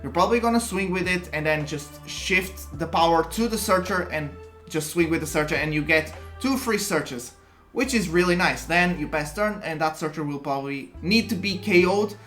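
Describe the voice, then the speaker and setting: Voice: medium pitch, normal speed, a bit bright, faint music behind. Chatty Portuguese-accented card game explainer. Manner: chatty, restarts sentences, light chuckles. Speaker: card game content creator. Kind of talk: casual explainer talk to camera.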